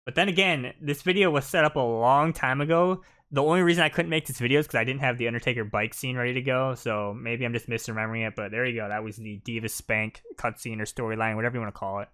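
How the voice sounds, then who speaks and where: A man's voice: medium pitch, fast talker, a bit bright. A man doing casual live commentary on video game footage, talking in an informal way.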